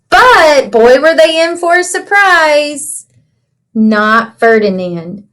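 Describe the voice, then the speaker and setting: A woman reading a picture book aloud to children in an animated storytelling way, pausing to ask them simple questions. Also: high-pitched. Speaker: children's read-aloud teacher.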